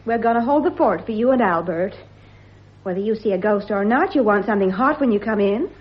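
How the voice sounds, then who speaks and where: An actress playing a spirited, excitable young English woman in a 1950s British radio drama. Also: medium pitch, brisk, natural voice. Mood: excitable, insistent. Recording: muffled vintage radio recording.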